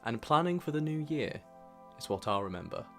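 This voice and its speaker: A man reading a scripted, reflective year-in-review narration in a measured way as a vlog narrator. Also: fairly high pitch, sing-song, fairly bright tone, soft background music.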